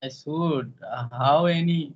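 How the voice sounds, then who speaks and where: A man with a somewhat high voice, heard through dull, lo-fi audio. He is a non-native English learner in a casual practice chat, putting his questions together haltingly in simple, broken phrases. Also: a little hiss or hum. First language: not English.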